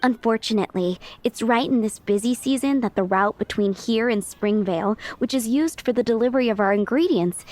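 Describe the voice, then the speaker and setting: A woman's voice: on the high side, close to an everyday voice. An actress playing a polite adult townswoman in a game, earnest and pleading, with faint music behind. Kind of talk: game voice acting.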